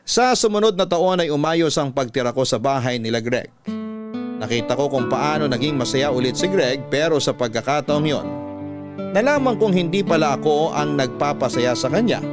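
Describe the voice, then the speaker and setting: Filipino guy narrating a letter story radio style, medium pitch, soft music under him. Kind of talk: scripted first-person narration of a listener's letter.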